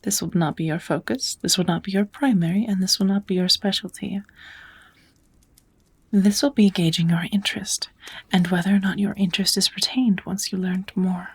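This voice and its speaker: A woman voicing a kind, knowledgeable witch who gently teaches magic in a measured, whispered ASMR roleplay. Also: mid-range voice, steady tone. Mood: kind, patient. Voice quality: soft, breathy whispering.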